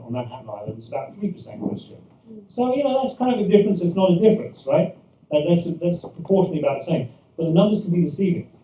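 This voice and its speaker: A man's voice: fairly high pitch, warm tone, slight background noise. A British man, a pastor, telling humorous anecdotes to a church audience with frequent restarts, recorded from a distance in an echoey room. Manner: self-deprecating, anecdotal, many restarts. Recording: echoey room, mic far off, faint ambience.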